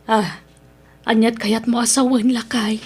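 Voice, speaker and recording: A woman's voice: fairly high pitch, level tone, measured pace, slightly rough. A woman acting in an Ilocano radio drama as an accommodating older mother and wife, with an Ilocano accent. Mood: questioning.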